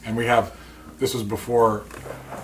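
A man's voice: medium pitch, flat delivery, normal speed, a little hiss or hum, poor audio. A man and father of four, telling a personal story in a casual, anecdotal way.

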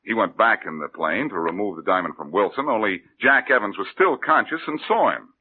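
A man's voice: brisk, slight background noise, close to an everyday voice. Man playing a wisecracking radio detective. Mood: cocky. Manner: breezy, joking, lively pitch. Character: wisecracking male detective, cool and cocky. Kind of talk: 1940s radio acting. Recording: narrow phone-like old radio broadcast.

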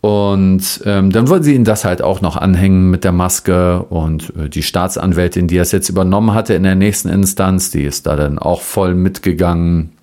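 Low-pitched man in casual conversation. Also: normal speed, hardly any pauses, dark tone. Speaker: interviewer and reporter.

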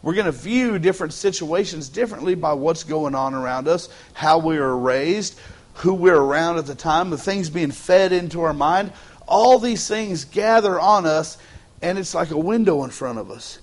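A Texan pastor preaching to his church in a relaxed, joking way. He talks with a slightly high voice, loose and folksy, often restarting phrases as he goes. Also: moderate pace. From Texas. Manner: joking, folksy, repeats words as he starts phrases. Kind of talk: casual humorous sermon. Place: church sanctuary.

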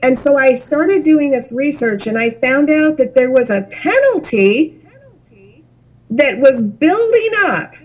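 A woman who works as a financial planner, a guest in an interview, telling her story with animated asides. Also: high voice, slow pace, poor audio. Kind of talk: conversational interview.

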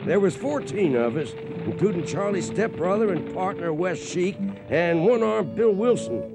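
An actor playing a weather-beaten old trail boss who spins yarns in folksy American Western speech for a radio western. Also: male voice, high voice, expressive, normal speed, music in the background. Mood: reminiscing. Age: older. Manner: folksy, rustic cowboy speech. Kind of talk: radio western acting, storytelling narration.

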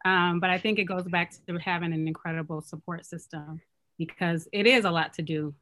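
A woman in her thirties from Oakland, a clothing business owner, talking casually and softly in an interview. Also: fairly low pitch, steady tone, fairly quick. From Oakland, California.

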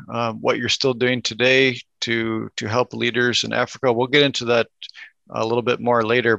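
Gravelly male podcast interviewer, dry sound. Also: mid-range voice, a bit bright, dry recording, dull audio. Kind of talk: conversational interview.

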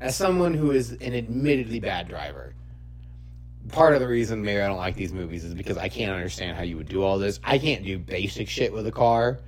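A man's voice: lowish voice, lively intonation, brisk. A man hosting a podcast, giving casual comedic movie commentary in a profane, mock-incredulous riffing way. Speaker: podcast host.

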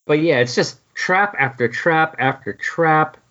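A man's voice: on the high side, measured pace, bright, forceful tone, lo-fi sound. A man co-hosting a podcast, chatting casually and wryly about comics. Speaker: podcast co-host.

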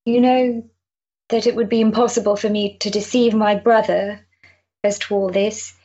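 Period drama: actress as soft-natured anxious gentlewoman, breathy higher voice, slightly dull recording. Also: flat delivery, moderate pace, lightly acted. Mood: anxious, tender. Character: gentle, anxious, respectable Victorian lady friend. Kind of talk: period stage-play acting.

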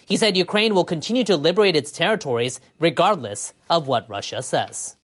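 Measured radio news reader. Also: medium pitch, animated. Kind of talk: scripted news bulletin narration.

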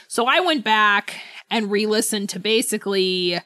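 A woman's voice: medium pitch, expressive, unhurried, sharp tone, no echo. A woman co-hosting a podcast, chatting casually and in a rambling way about books, often trailing off. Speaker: podcast co-host. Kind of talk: casual book club discussion.

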